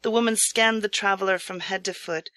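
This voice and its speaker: A woman's voice: medium pitch, fairly even intonation, brisk, bright, forceful tone, no room sound. Woman narrating a storybook, close dry sound. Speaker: audiobook narrator.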